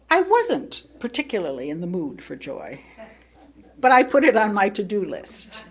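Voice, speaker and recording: An American woman reads a sermon aloud as personal storytelling, speaking expressively with theatrical emphasis and pauses. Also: mid-range voice. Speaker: lay reader delivering a written sermon.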